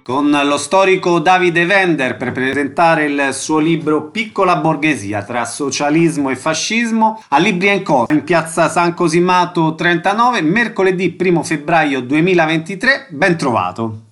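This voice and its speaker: A man's voice: a little high, lively intonation, moderate pace, few pauses, fairly bright tone. A man hosting a radio programme, reading announcements and putting short questions to guests. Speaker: radio interviewer.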